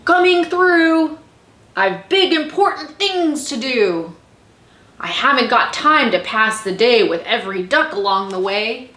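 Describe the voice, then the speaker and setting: A woman's voice: on the high side, expressive, a little slow. A woman voicing a self-important, honking male dump truck from a children's storybook. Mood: brusque, impatient. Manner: loud, pompous, honking. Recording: roomy echo, faint background noise.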